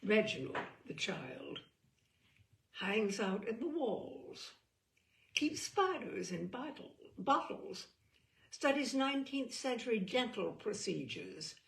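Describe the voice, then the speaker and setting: Slow, dramatic woman storyteller, creaky. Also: medium pitch, sing-song, echoey room. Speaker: storyteller, reader. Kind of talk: theatrical comic gothic story reading.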